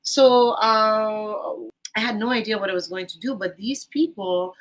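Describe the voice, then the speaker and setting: A woman's voice: mid-range voice, fairly even intonation, unhurried, poor audio. A woman from Iran with a Persian accent, a guest on a podcast interview, talking enthusiastically about volunteer teaching, with a rambling, restarting manner.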